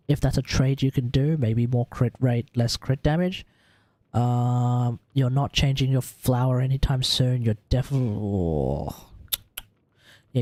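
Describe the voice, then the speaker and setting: A man's voice: mid-range voice, mellow, no echo. A man with an Australian accent chatting casually as a game livestream host, informal and sweary as he thinks aloud. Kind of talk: casual gaming livestream chat, advising on character builds.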